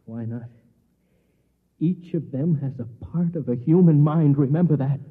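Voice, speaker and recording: Anxious conspiracy believer, muffled old radio. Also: male voice, fairly high pitch, a little slow, slightly rough. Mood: hushed, tense. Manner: hesitant, repeating words, dramatic. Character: anxious, conspiracy-obsessed man urgently confiding in his friend. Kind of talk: 1950s radio drama acting.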